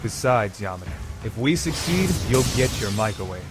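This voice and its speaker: A man's voice: on the low side, very animated, normal speed, over music. A man voicing a smug, scheming teenage boy in an anime fan dub, wordy and theatrical. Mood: defensive, persuasive. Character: scheming, smooth teenage boy angling for student council president.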